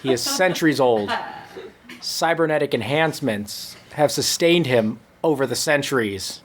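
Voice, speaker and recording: A man reading a comic story to listeners with dramatic, drawn-out noir-style narration. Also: mid-range voice, expressive, a crowd in the background. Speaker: comedy storyteller.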